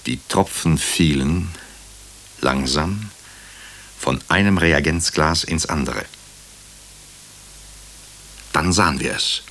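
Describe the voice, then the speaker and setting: A doctor recounting a crime story for radio drama. His voice is extremely deep. He tells it soberly, in measured, literary sentences. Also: fairly bright tone, full character voice. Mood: sober, observant. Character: male doctor narrating a crime story in first person, sober.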